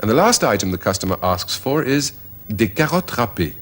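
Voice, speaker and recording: A man's voice: on the low side, big pitch swings, moderate pace, a bit bright. A man presenting a language course, explaining phrases and modelling them for learners. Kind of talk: scripted teaching narration.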